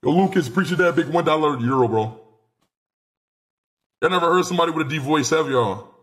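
A nineteen-year-old man streaming live from a small room on a headset mic, excitable and rambling, swearing freely. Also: on the high side, brisk. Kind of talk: casual live stream chat.

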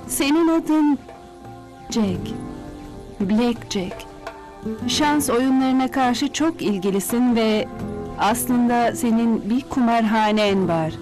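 A woman playing an uneasy fortune-teller in a cartoon dub, speaking dramatically with hesitant pauses. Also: on the high side, over music. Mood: mystical. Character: female fortune-teller gazing into a crystal ball, uneasy. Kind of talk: cartoon dubbing.

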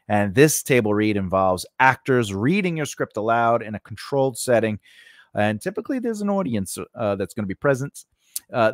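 A man in his forties giving an informal instructional talk, conversational and halting, often rephrasing himself as he goes. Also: on the low side, no room sound, clean studio sound. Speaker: host of a scriptwriting lesson. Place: small indoor recording space. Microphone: close studio microphone on a stand.

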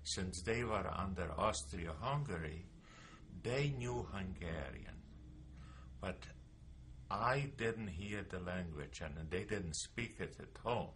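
An elderly man speaks in a very deep voice, slowly and haltingly, often repeating a word before going on. He gives a testimony while seated in his living room. A faint hiss of room ambience sits under the voice. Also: animated, a bit bright. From Carpathian Ruthenia, Czechoslovakia. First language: Yiddish. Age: older. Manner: slow, halting, repeats words while recalling dates. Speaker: Holocaust survivor giving testimony. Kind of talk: recorded oral history testimony. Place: living room at home with bookshelves.

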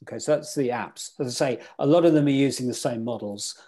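A man's voice: mid-range voice, fast, warm tone. A man teaching sailing weather in an online club lecture over a video call, quizzing his listeners as he goes. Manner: interactive, quizzes the audience.

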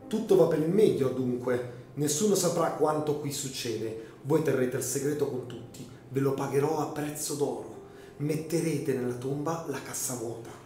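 A man playing an insistent, desperately hopeful gentleman in a melodramatic radio drama, emphatic and pleading. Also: medium pitch, moderate pace, slight background noise, natural voice. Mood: urgent, persuasive. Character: earnest, insistent, desperately hopeful gentleman, the dead countess's brother. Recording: very echoey room, music behind.

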